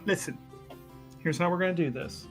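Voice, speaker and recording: A man improvising a smooth-talking, scheming adventurer in a tabletop roleplaying game, halting and wheedling as he offers condescending reassurance. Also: sits high, soft background music, natural voice. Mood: scheming, hedging. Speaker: tabletop role-playing player. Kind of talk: improvised in-character actual play.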